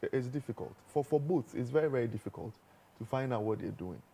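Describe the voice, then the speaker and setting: A man's voice: mid-range voice, animated, fast, creaky, warm tone, slightly rough. A man, a doctor guest explaining things plainly and stammering on restarts. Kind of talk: expert explanation on a talk show.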